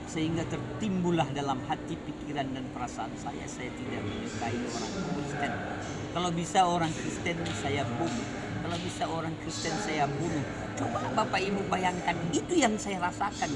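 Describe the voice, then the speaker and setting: A middle-aged man from Aceh with an Acehnese accent colored by Medan and Malay speech, giving an earnest personal testimony in conversation. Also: a little high. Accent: Acehnese with some Medan and Malay dialect. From Aceh, Indonesia. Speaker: guest telling his conversion story.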